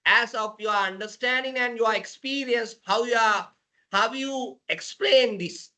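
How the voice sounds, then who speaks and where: A woman with a Sri Lankan accent hosting a podcast, speaking in a halting way and often repeating her phrases. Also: fairly high pitch, pushed and bright. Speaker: podcast host. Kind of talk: unscripted podcast introduction and interviewing.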